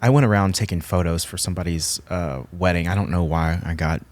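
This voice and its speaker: A man's voice: deep voice, steady tone, a little fast, no echo. A young man from Texas, hosting a podcast in casual chat, often repeating words and laughing. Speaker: podcast host.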